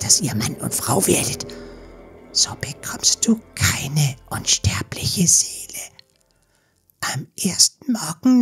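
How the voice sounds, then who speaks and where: A woman playing a sinister, scheming sea witch in a fairy-tale audiobook, with a hoarse, breathy whisper and slow, ominous delivery. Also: sits low, exaggerated voice.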